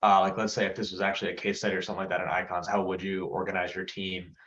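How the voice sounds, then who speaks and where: A low-pitched man talks through an approach in a casual, halting way. The meeting audio is dull and lo-fi. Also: flat delivery, fairly bright tone. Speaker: interviewer in training meeting. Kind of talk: casual explanatory discussion.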